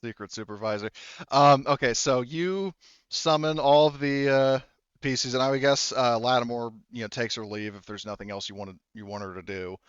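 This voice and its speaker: A man acting as game master of a tabletop roleplaying game, explaining things conversationally in a halting, thinking-aloud way with trailing asides. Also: medium pitch, clear, resonant tone, no room sound, muffled recording.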